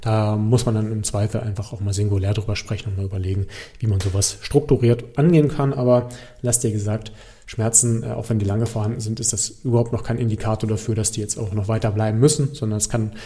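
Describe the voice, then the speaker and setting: A man's voice: fairly low pitch, steady tone, fast, mellow. A man working as a host and coach, answering audience questions in an informal, explanatory way, speaking directly to the listener with frequent little check-in tags. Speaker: host, pain and movement coach.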